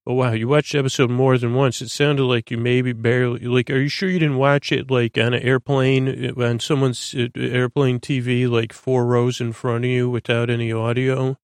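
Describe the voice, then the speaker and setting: A man hosting a bedtime podcast in a creaky, gentle, lulling voice, meandering hesitantly off into tangents. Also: medium pitch, level tone, normal speed, few pauses, no echo, clean studio sound. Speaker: host of a bedtime-story podcast. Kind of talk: rambling sleep-podcast monologue.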